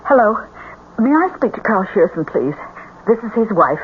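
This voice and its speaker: An actress playing an anxious, hesitant yet insistent wife in an old-time radio drama. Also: female voice, medium pitch, very animated, moderate pace, close to an everyday voice. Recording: tinny, faintly noisy phone-like radio.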